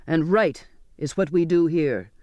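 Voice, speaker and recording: A man's voice: on the high side, expressive, moderate pace, highs cut off, natural voice. A stern ship's captain acted out by a male reader for a dramatised sea story. He is imperious and scornful, pressing every order with cruel authority. The sound is dry, without room echo. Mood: angry, forceful. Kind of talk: dramatised audiobook dialogue.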